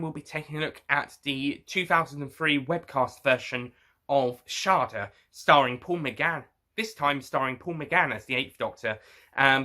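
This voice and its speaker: A man with a very bright, forceful voice at an average male pitch gives an unscripted review. He talks casually to his viewers in a halting, rambling way, often circling back to rephrase what he has said. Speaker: review show host.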